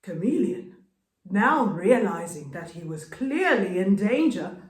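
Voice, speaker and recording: Female storyteller for folktales: lively swooping intonation, unhurried dramatic phrasing, echoey room. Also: medium pitch. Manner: dramatic, expressive, deliberate phrasing. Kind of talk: scripted folktale narration.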